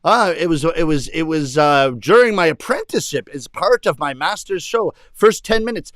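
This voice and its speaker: Casting note: animated comedian, male, high voice, dry close sound, bursts into put-on shouts. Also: moderate pace, dry recording. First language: English. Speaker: rakugo comedian. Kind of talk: stand-up storytelling to an audience.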